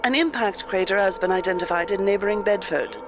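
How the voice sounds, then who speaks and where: A woman's voice: medium pitch, fast, music in the background, lightly acted. A woman playing a formal, matter-of-fact newsreader in a radio drama, reading a disaster bulletin. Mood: sober.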